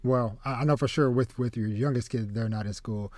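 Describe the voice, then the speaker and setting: A man in his thirties hosts a casual podcast in a halting way, doubling back on his words. The sound is close and dry, with no room on it. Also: mid-range voice, a little mellow, dry recording. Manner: halting, trailing, repeats words. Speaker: podcast host. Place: small home room with shelves, video call. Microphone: close desk mic.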